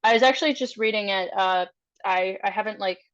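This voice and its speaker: A woman's voice: medium pitch, a little fast, a bit bright. A woman's voice comes through muffled, lo-fi online call audio. She is a player in a tabletop game, talking casually in a tentative, hesitant way. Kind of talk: casual chat. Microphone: online group call.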